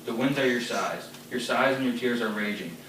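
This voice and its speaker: A domineering, hot-tempered noble father, as a man plays him with a bright, forceful tone and a scolding, ranting manner. The recording is echoey and distant, with a faint hum of ambience behind it. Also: mid-range voice, normal speed, lightly acted. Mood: mocking.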